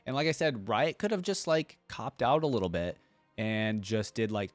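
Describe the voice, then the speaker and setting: A man's voice: medium pitch, no room sound. A man giving casual reaction commentary as host, talking about a game character's voice lines.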